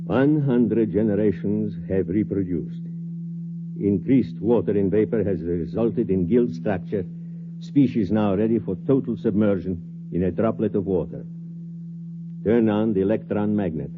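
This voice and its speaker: Actor as a grave, low-voiced scientist, old radio. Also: male voice, background noise, lightly acted. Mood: solemn, clinical. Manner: clipped, solemn, measured delivery. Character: grave male scientist running a desperate experiment on humanity. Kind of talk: 1950s radio drama acting. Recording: muffled vintage radio, loud humming electronic tones.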